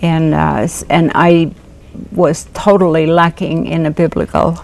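Older woman, interview guest; low, gravelly, halting delivery. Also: level tone, a little mellow. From Finland. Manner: hesitant, many pauses and fillers. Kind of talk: personal testimony interview.

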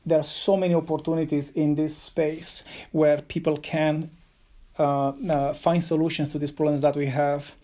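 Low, flat-voiced man with many pauses. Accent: non-Irish, foreign. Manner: hesitant, many ums and uhs, measured. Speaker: city digital officer. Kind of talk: podcast interview answers.

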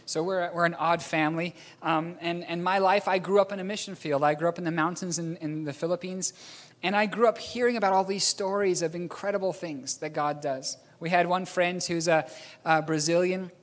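A man's voice: high-pitched, steady tone, brisk. A man from New Zealand preaching a storytelling sermon, rambling a little and correcting himself with a chuckle. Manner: rambling, self-correcting, chuckles at own slips. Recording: long hall reverb.

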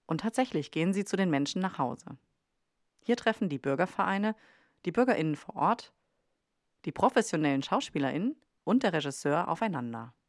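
A woman's voice: fairly low pitch, animated, brisk, no echo, clean studio sound. A woman narrating a documentary podcast from a script.

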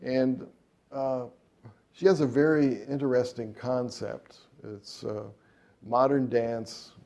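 A man's voice: medium pitch, fairly even intonation, slow pace, a little mellow. A middle-aged man, a jazz trumpeter and composer, answering interview questions in a rambling way with many fillers and restarts.

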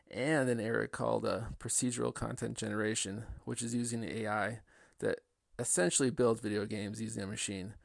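A man hosts an interview show in an upbeat, casual manner. His mid-pitched voice carries a gravelly fry. The recording is dry, with little room sound. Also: no room sound. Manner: upbeat, with some fillers. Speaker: podcast host.

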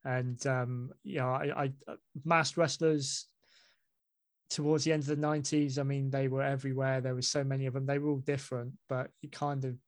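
A man's voice: medium pitch, little pitch movement, normal speed, dry recording. An easygoing man interviewing a guest in casual chat, encouraging him with short affirmations.

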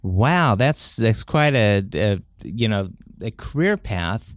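A man hosting a conversational interview, asking questions in a halting, hesitant way. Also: on the low side, very animated, no echo, studio quality. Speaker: interview host.

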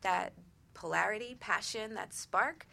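A woman's voice: mid-range voice, unhurried, gravelly, sharp tone. A woman hosting a radio show, talking conversationally as she interviews a guest about relationships.

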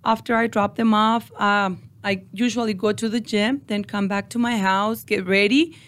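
A woman's voice: medium pitch, fairly even intonation, moderate pace. A woman in her forties from Mexico with a Mexican Spanish accent, answering interview questions in a podcast studio with many fillers and restarts. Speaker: guest.

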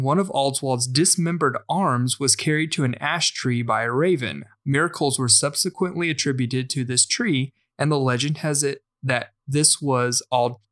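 Male podcast host, informal and conversational, mid-pitched voice, stumbles a little when reading. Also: normal speed, fairly bright tone. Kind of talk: casual podcast chat, reading out a listener message.

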